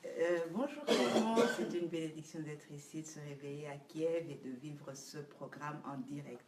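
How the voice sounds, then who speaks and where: A man's voice: on the high side, fairly quick, a little hiss or hum. A man greeting the congregation with a formal blessing. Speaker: church member greeting. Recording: distant echoey room mic.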